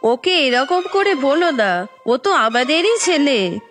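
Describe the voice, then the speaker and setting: A woman's voice: high voice, big pitch swings, slow pace, exaggerated voice. A woman playing a protective, pleading middle-aged mother in a cartoon story. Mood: reproachful. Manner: pleading, worried. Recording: loud music bed under voice.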